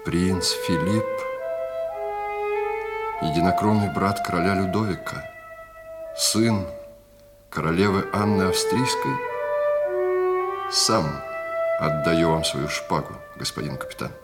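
Low-voiced, dignified royal impostor. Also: male voice, takes it slowly, pauses often. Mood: solemn, dignified. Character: secret twin prince posing as king, restrained, nervous, dignified. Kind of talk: Russian radio play acting. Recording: loud orchestral music behind.

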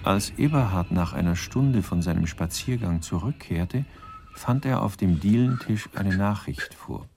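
An actor as the wry, composed narrator of a radio crime drama, reading scripted literary narration. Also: male voice, low voice, animated, measured pace, creaky, noisy background, full character voice.

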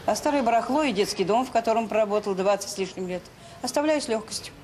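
An actress playing a knowing middle-aged orphanage worker in a Soviet radio drama, measured and frank. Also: female voice, fairly high pitch, darkish tone, lightly acted. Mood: dry irony. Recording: noisy old radio play recording.

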